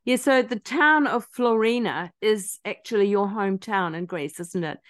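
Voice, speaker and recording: A woman's voice: mid-range voice, normal speed. Woman host asking interview questions. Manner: conversational, setting up questions at length. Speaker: podcast host.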